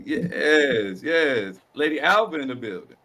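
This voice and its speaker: A man hosting a podcast, opening the show with energetic, slangy banter. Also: measured pace. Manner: energetic, slangy, lively shifts in pitch. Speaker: podcast host. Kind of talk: hype podcast intro.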